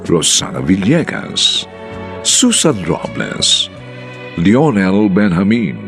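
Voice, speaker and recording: A man's voice: lowish voice, gravelly. A man with a Filipino accent announcing a radio drama's sign-off in a dramatic, theatrical style. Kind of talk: dramatic scripted sign-off narration. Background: faint music.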